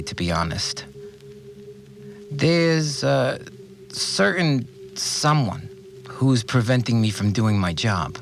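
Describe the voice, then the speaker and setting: Earnest, animated, aggrieved cleaner. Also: male voice, mid-range voice. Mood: weary, put-upon. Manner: hesitant, naive, complaining, rambling. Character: earnest, aggrieved male cleaner keeping an audio diary. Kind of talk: audio drama monologue, conversational recorded log.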